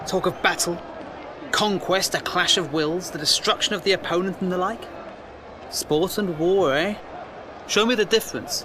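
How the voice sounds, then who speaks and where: A man's voice: high-pitched, normal speed, background noise, natural voice. An actor playing an earnest, questioning First World War newspaperman, well-spoken, formal and wry, in an audio drama. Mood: wry, musing. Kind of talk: period audio drama acting.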